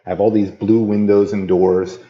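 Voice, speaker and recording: A man's voice: lowish voice, level tone, moderate pace, dull audio. A man being interviewed on a podcast, telling his story with easy enthusiasm. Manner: enthusiastic, storytelling, laughs easily. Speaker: interview guest. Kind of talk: casual interview chat.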